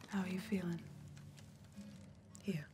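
A young woman playing an uneasy, politely pushy rural woman in a soft-spoken, hesitant ASMR roleplay. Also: on the low side, fairly even intonation, slow. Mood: hushed concern. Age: young adult. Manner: hushed, hesitant, murmuring. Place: dim indoor room. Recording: close recording with music behind.

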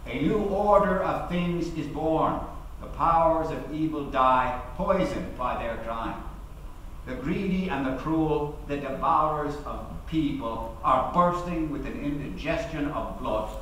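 An ageing labour activist played by a man addresses a hall in a theatrical, oratorical way. He is principled and defiant, with deliberate dramatic pauses. The voice rings with heavy echo, caught away from the mic with faint noise. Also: on the high side, fairly bright tone, far from the mic. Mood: fervent, defiant. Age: middle-aged. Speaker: actor giving a dramatic reading. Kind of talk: theatrical reading of a public speech. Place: lectern on stage in a large hall.

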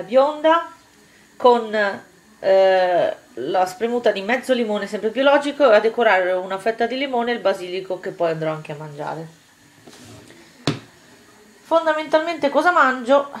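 Woman chatting through a home recipe. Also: mid-range voice. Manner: chatty, step-by-step instructions to viewers. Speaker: cooking vlogger. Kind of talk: casual cooking demonstration. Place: home kitchen table, indoors.

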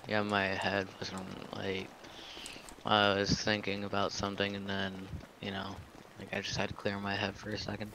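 A man in casual gaming chat, talking in a low-key, hesitant way. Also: completely flat delivery, moderate pace, sharp tone, poor audio. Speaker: player in a roleplay game session. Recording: clear vehicle and game noise behind. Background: game ambience and car engine.

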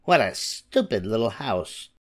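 A man's voice: lowish voice, sing-song, a little slow, no echo, studio quality. A man voicing vain, horrid young stepsisters in a storybook, taunting and haughty. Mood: disdainful. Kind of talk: storybook character voice.

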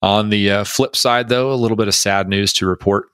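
A man's voice: lowish voice, steady tone, fairly quick, a bit bright, smooth, no room sound, studio quality. A man cohosting an aviation podcast, chatty and upbeat, with a few jokes between topics. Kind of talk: podcast monologue and interview.

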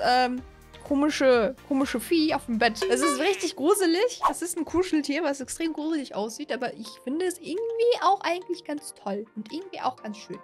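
A woman in her twenties with a high-pitched voice reacts casually to a game as its video host. She gushes and circles back over her own phrases. She speaks close into a studio microphone, with faint music behind her. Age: 20s. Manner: gushing, repeats words and phrases. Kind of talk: excited casual reaction commentary to camera. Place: small indoor streaming room. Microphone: close studio microphone on a boom arm.

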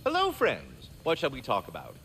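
Posh-worded polite big squirrel, theatrical, swoops up and down loads. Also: male voice, mid-range voice, wildly swooping pitch, normal speed, bright, forceful tone, a little hiss or hum, exaggerated voice. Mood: cheerful, chatty. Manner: verbose, formal, flowery diction. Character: courteous, genteel giant immortal male squirrel, fatherly. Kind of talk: theatrical video game acting.

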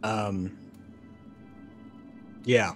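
A middle-aged man playing a tabletop roleplaying game, improvising casually and haltingly as he thinks aloud. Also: lowish voice, fairly even intonation, faint music behind.

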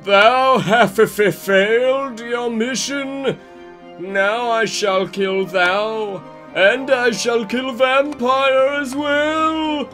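A high, raspy villain wizard in a comic dramatic reading. He drags out every archaic threat with cruel menace, over clear background music. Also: a bit bright, heavily acted. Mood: menacing. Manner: slow, drawn-out menacing delivery, cackling. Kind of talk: comedic dramatic reading of fan fiction.

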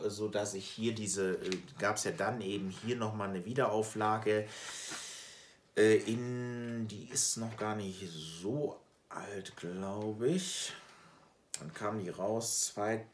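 Guy, middle aged, lowish voice, chatting to camera with lots of pauses, echoey room. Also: fairly bright tone. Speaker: comic collector and video host. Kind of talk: casual chat to camera, showing purchases. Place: small room at home with shelves. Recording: roomy, echoing indoor sound.

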